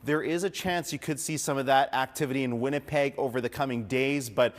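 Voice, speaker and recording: A man's voice: medium pitch, fairly even intonation, a little fast. A man presenting weather and traffic in a conversational broadcast style. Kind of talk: unscripted-sounding broadcast forecast presentation. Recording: long reverberant room sound.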